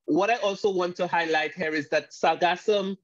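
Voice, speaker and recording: A man from Saint Lucia gives a panel talk over a video call, halting, with frequent restarts and fillers. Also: high-pitched, steady tone, no echo. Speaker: guest speaker. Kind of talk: online panel presentation. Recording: dull lo-fi video call audio.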